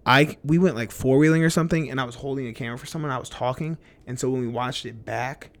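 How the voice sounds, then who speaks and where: A man's voice: medium pitch, quick pace. A young adult man co-hosting a casual chat, teasing bluntly and swearing freely. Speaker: podcast co-host.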